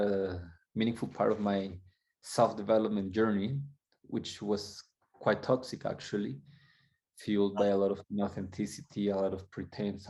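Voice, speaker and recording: A man from Colombia, a guest coach, telling his story candidly and reflectively, halting and restarting as he goes. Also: fairly low pitch, warm tone.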